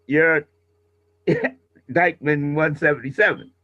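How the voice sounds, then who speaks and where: A man's voice: on the high side, takes it slowly, bright, forceful tone. A man coaching a radio class informally, explaining and correcting himself as he goes, heard through dull remote audio.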